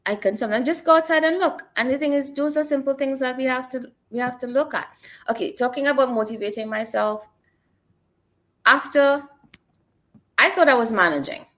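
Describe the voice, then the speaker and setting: A woman's voice: high-pitched, a little fast, a bit bright. Woman, middle-aged, from Trinidad and Tobago, earnest, reflective storytelling. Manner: earnest, personal storytelling with repeated phrases. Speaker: guest. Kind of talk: reflective interview talk.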